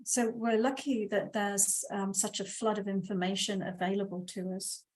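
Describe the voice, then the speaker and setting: Calm woman guest explaining steadily. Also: mid-range voice, moderate pace. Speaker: guest, meditation teacher. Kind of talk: casual interview talk.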